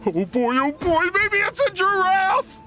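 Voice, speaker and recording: Dopey, wildly swooping cartoon starfish. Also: male voice, very high voice, sing-song, over loud music, full character voice. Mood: carefree, eager. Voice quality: thick, goofy. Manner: slow-witted, oblivious, childlike. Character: dim-witted, happy-go-lucky big male starfish sidekick. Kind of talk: animated cartoon game voice acting.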